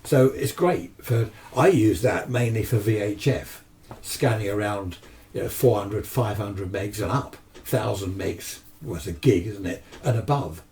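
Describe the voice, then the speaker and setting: Old british guy rambling happily about his hobby, mellow voice, bit far from the mic. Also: fairly low pitch, unhurried, a little hiss or hum. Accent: British English. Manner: chatty, enthusiastic, asks and answers himself. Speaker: amateur radio hobbyist presenter. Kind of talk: casual rambling chat to camera. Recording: distant microphone.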